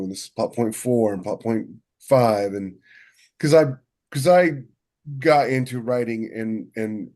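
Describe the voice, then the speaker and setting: A man's voice: fairly low pitch, a little mellow. A man co-hosting a podcast, talking casually and in a rambling way about his craft as a writer. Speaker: podcast co-host and novelist.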